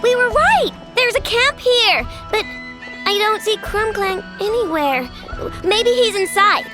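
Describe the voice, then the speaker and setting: Very squeaky, eager game child. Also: female voice, expressive, a little slow, over loud music. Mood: eager, curious. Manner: exclamatory, wide-eyed. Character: excitable small child adventurer, squeaky and eager. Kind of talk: animated video game voice acting.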